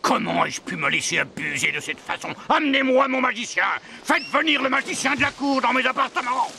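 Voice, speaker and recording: A man's voice: very high-pitched, lively intonation, brisk, creaky, pushed and bright, a little hiss or hum, full character voice. A man playing a pompous, short-tempered king and overprotective father in a fairy-tale cartoon, with a theatrical delivery. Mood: furious. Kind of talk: cartoon voice acting.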